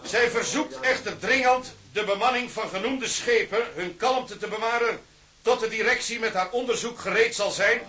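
An excitable union chairman in a period drama. His voice sits very high and cuts through with a bright, forceful edge. He declaims formally, as if to a packed meeting of sailors. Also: moderate pace, echoey room. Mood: formal, proclaiming. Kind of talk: Dutch period drama acting, declamatory speech.

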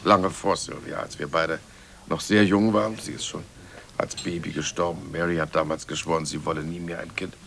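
A man playing a weary, disillusioned older gentleman in a radio drama, halting, resigned and confiding. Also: very deep voice, fairly even intonation, vocal fry, noisy background. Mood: grieving, confiding. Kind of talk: German radio drama acting.

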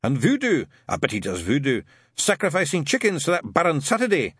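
A cantankerous old sergeant, as a reader puts him on, barks his orders and mutters dark conspiracies. His voice is husky and pushed loud. It rises and dips with bluster, recorded dry and close. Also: on the high side, huge pitch swings, fairly quick, no echo, voice pushed up, exaggerated voice. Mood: barking, suspicious. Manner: barking, conspiratorial, bigoted grumbling. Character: gruff, paranoid old Scottish witchfinder sergeant. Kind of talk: audiobook character voice.

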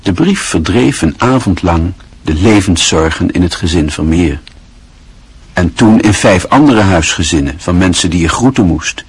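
A man narrating a radio play in a measured, expressive storytelling manner. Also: low-pitched, slightly rough.

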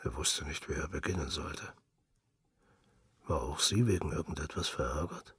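A man reading scripted literary narration in a husky, gravelly voice, with a measured, intimate storytelling manner. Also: rumbling low, dry recording.

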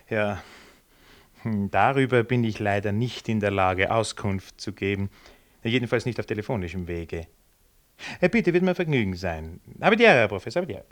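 An affable government official played by an actor in a radio drama. His voice sits slightly low, and he talks with courtly politeness and dry, freethinking irony. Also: expressive, moderate pace, natural voice. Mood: officious, amused. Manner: courteous, wry, chuckling asides. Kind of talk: 1960s German radio drama acting.

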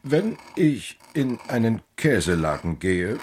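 A severe, commanding stepfather in a radio drama. His fairly low voice rises and falls widely as he lectures. Faint noise sits behind him. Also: big pitch swings, slow pace, darkish tone. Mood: stern. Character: stern, cold stepfather, middle-aged man. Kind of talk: radio play acting.

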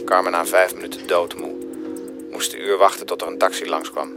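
A low, gravelly, blunt husband. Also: male voice, level tone, brisk. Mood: clipped, restless. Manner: blunt, clipped, crude sentences. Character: blunt, bitter husband of a dying wife, narrating. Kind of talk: radio drama, confessional narration. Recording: music behind the voice.

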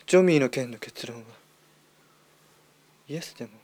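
A man playing a solemn, revered leader of a psychic race in an amateur radio drama, speaking gravely and haltingly. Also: medium pitch, darkish tone, natural voice. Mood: grave, questioning. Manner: slow, grave, halting delivery. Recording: music behind the voice.

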